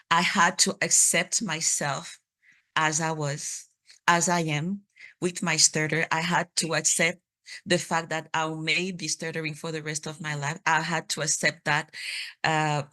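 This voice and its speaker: A woman's voice: low-pitched, pushed and bright. Stuttering woman from Cameroon. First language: French. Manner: stutters with repeated sounds and restarts. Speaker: speaker sharing her story. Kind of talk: personal testimony talk.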